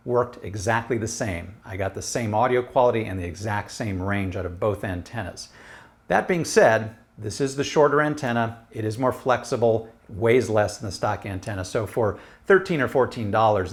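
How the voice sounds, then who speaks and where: A middle-aged American man giving a casual, explanatory product review to camera in a conversational way. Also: on the low side. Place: small room at home. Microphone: lapel mic.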